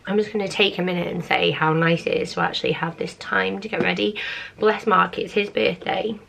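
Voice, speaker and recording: A British woman in her thirties, husky from the end of a cold, chatting casually and laid-back through a make-up tutorial. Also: lowish voice, steady tone, fairly quick, fairly bright tone, slight background noise. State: getting over a cold. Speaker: beauty vlogger.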